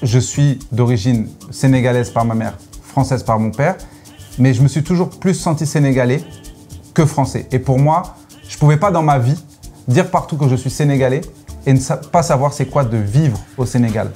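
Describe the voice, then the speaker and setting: A man's voice: mid-range voice, normal speed, mellow, soft background music. A young man from Senegal, chatting as an interview guest, joking and playful, explaining with many fillers. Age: young adult. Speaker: guest, content creator. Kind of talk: casual interview chat.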